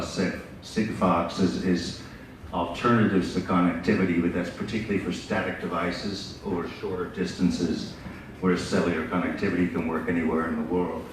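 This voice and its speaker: A middle-aged American man giving a conference presentation in a relaxed, conversational way, with frequent fillers. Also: low voice. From United States. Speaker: business development speaker. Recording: echoey hall, distant mic, background noise.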